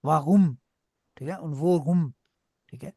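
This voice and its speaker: A man's voice: fairly high pitch, slow pace, vocal fry, mellow, breathy edge, dry recording, studio quality. A man whose first language is Punjabi, teaching German to beginners in a patient way and checking often that the class follows. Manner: patient, repeats "ठीक है" often. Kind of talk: online class teaching in Hindi-Urdu.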